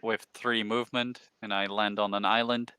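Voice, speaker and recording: A man's voice: on the low side, flat delivery, normal speed, pushed and bright, clean tone, no room sound. A man chatting casually as he plays a board game. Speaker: game player. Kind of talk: casual chat while playing.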